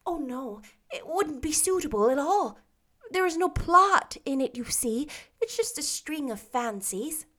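A woman's voice: sits high, expressive, a little slow, fairly bright tone, pitched above the performer's own voice. A woman doing a gushing, dreamy teenage girl. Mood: earnest. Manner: gushing, fanciful.

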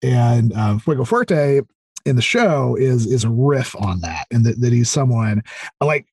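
A man in his thirties chatting casually as a podcast guest, explaining things enthusiastically in a halting way full of fillers. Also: mid-range voice, lively intonation, darkish tone, husky. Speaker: podcast guest, audio drama creator. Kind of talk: casual interview chat.

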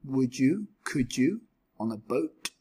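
Man playing an eager, pestering little storybook creature, lively. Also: medium pitch, takes it slowly, slightly rough. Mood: persistent.

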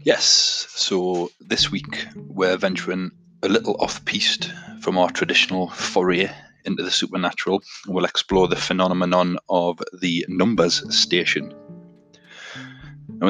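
A man with a low-pitched voice narrates a podcast in a fairly even, flat intonation. His tone is bright and forceful, and he talks conversationally to his listeners over background music. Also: a little slow, poor audio. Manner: conversational, addresses listeners directly. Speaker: podcast host. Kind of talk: scripted podcast narration with casual asides.

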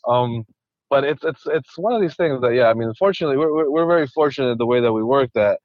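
Man from Ecuador, guest, halting speech, full of restarts, dull dry recording. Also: medium pitch, no echo. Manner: halting, many restarts and repeated words. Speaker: guest, ocean conservationist. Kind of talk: casual interview chat.

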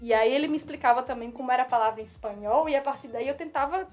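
Brief: young woman, Northeastern Brazilian accent, high voice, earnest casual storyteller. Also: very fast, a little mellow, faint music behind. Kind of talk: casual chat.

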